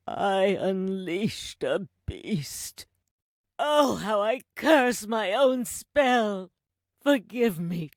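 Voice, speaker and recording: A dying old grandmother from a fantasy video game. Her voice is deep, husky and breathy. She speaks with drawn-out, solemn urgency, pleading like a remorseful mentor. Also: no room sound, clean studio sound, exaggerated voice. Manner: slow, solemn, pleading storyteller delivery. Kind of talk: dramatic video game voice acting.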